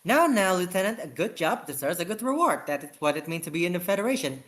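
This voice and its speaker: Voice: mid-range voice, big pitch swings, quick pace, fairly bright tone. An amateur reader voicing a carefree woman spaceship captain from a sci-fi story, breezily brushing off blame or protesting. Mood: nonchalant.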